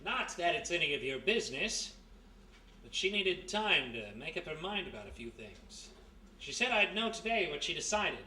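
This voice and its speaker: An actor playing a gruff, wry former thief turned private detective in a 1930s-style radio mystery. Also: male voice, on the high side, very animated, moderate pace, sharp tone, exaggerated voice. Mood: irritated. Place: live performance in a historic house. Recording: roomy live stage recording, distant mic, faint noise.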